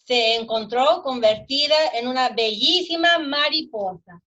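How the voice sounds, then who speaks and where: A bilingual woman from Texas with a Texan accent, reading aloud in Spanish and answering briefly and gently. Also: high voice, moderate pace, dull audio. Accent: Texan Spanish-English bilingual. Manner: bright, gentle, brief replies. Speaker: guest reader, deputy. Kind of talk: bilingual read-aloud and chat.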